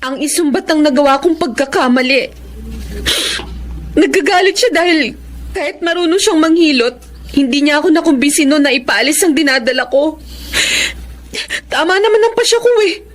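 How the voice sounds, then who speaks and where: A woman's voice: high-pitched, expressive, moderate pace, pauses often. A young woman playing a resolute single mother who stands up to her mother in a radio drama. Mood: resolved. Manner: earnest, firm.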